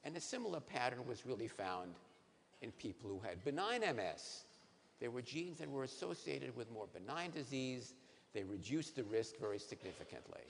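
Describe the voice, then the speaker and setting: A man in his sixties, a neurologist, giving a lively science lecture to patients on a conference stage, asking the audience questions. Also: mid-range voice, normal speed, creaky. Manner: animated, asks the audience questions. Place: large conference hall stage. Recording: reverberant hall, faint noise.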